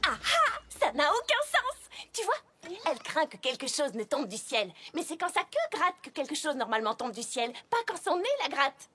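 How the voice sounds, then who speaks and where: A woman's voice: sits high, big pitch swings, moderate pace, noisy background. A woman voicing a studious, skeptical female unicorn pony in a French cartoon dub, precise and lecturing. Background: loud music score.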